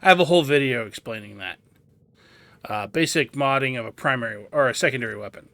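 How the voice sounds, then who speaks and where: A man's voice: mid-range voice, fast, vocal fry, sharp tone. A man giving a casual game walkthrough, explaining things as he goes and thinking aloud. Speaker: gameplay guide host.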